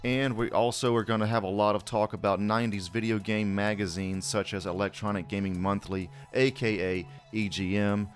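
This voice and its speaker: A man's voice: on the low side, fairly even intonation, normal speed, few pauses, faint music behind. A man hosting a podcast, making a casual promotional announcement. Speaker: podcast host.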